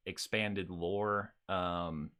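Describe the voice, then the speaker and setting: Male podcast co-host, medium pitch, casual conversational read, thoughtful and a little hesitant in phrasing. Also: fairly even intonation, drawn-out words, a crowd in the background. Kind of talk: casual chat.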